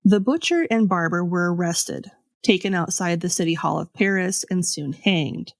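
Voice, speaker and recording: A woman podcast host telling a scripted story in a conversational way, with pauses for dramatic effect. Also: fairly low pitch, animated, gravelly, no room sound, clean studio sound. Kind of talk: scripted storytelling with casual asides.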